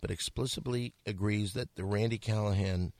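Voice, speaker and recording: A low-pitched man with a gravelly edge reads listener letters aloud on a podcast. His delivery is deadpan and mock-earnest, and the studio sound is dry. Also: moderate pace, no echo. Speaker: podcast host.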